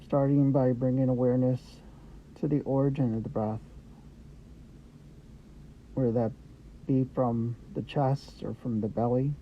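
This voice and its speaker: A man guiding a meditation with gentle, unhurried instructions in short phrases with pauses between them. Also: medium pitch, little pitch movement. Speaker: meditation guide. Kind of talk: guided meditation, Yoga Nidra. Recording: dull lo-fi audio with background noise.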